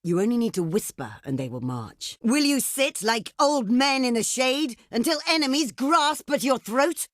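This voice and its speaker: Proud desert queen for a strategy game, highish voice that swoops around, formal and regal, super dry recording. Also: sing-song, dry recording. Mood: commanding. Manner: regal, formal, measured declamation. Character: proud, imperious desert queen of Palmyra, shrewd diplomat. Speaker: game character voice actor. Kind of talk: theatrical video game voice acting.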